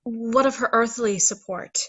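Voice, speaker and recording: Woman reading a higher-voiced, lofty female saint. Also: a little slow, a bit bright, husky, lo-fi sound. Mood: solemn. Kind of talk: virtual table read of a play.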